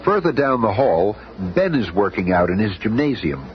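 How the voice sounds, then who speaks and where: A man's voice: fairly low pitch, very animated, moderate pace. A man narrating a 1970s radio drama as a dramatic storyteller. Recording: noisy, dull 1970s radio recording.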